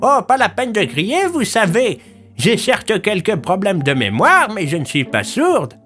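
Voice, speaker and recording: A man voicing a hospitable, slightly testy old village widow in a high feigned female voice for an audio drama. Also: huge pitch swings, measured pace, pushed and bright, soft background music, full character voice. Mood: mildly indignant.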